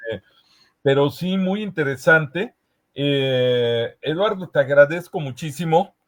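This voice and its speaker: Slow, hesitant Mexican man. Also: on the high side, lively intonation, a bit bright. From Veracruz, Mexico. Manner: hesitant, searching for words with frequent pauses. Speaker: host of a history conference session. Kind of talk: casual moderating, reading audience comments aloud.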